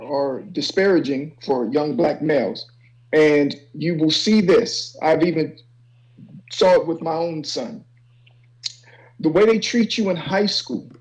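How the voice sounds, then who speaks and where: Higher-pitched man giving earnest advice. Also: darkish tone, rough recording. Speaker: community college educator, panelist.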